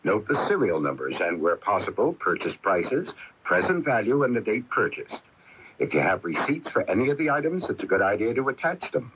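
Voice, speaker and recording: A man's voice: on the low side, very animated, fairly quick, fairly bright tone, breathy edge. A man reading a scripted consumer advice announcement on the radio. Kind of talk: scripted public service announcement. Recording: dull radio broadcast.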